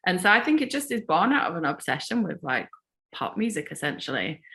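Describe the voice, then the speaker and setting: A woman's voice: on the low side, very animated, fairly quick, bright, forceful tone. A woman musician from Yorkshire answering interview questions in a friendly, self-deprecating way. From Yorkshire, England.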